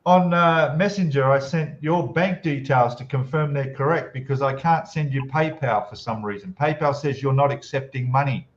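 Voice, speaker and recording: A man hosting a casual live stream hangout with friends over a voice call, chatty and quick to laugh. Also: medium pitch, dull audio. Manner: laughs easily, chatty and joking. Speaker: channel host.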